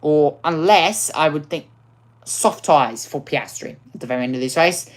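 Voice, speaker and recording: A woman with a low voice gives live commentary on a racing game. She gets excitable and often restarts and repeats phrases. Her voice sounds worn out. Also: moderate pace.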